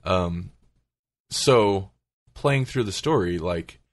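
Casting: male podcast host, lower-pitched voice, casual gamer chat. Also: animated, slow pace. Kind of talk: casual chat about video games.

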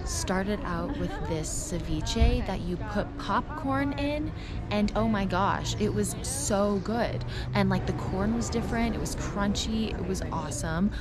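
A woman's voice: mid-range voice, animated, unhurried, heavy background noise. A woman, chatting casually as a travel vlogger in an informal, slangy, emphatic way. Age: 20s. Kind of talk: casual chat to camera, recapping travel.

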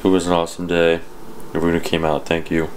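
A man's voice: sits low, monotone, fast, a little hiss or hum. A tired young man wrapping up a casual vlog to a handheld camera, laid-back and swearing freely. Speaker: vlogger, band member. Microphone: handheld camera at arm's length.

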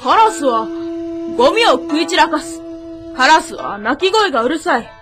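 A woman's voice: high voice, big pitch swings, moderate pace, over music. A woman playing a stubborn, indignant young boy in a radio drama, speaking in an accusing, insistent way. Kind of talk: Japanese radio drama acting.

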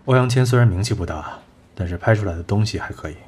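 An actor playing a blunt, proud film producer in a radio drama, curt and sardonic. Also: male voice, on the low side, quick pace, darkish tone, slight background noise, lightly acted. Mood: businesslike. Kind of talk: Chinese radio drama acting.

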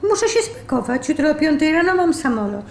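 A woman playing a sharp, sarcastic, fed-up live-in partner in a radio drama, brisk and sardonic. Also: high-pitched, big pitch swings. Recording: roomy sound with faint noise.

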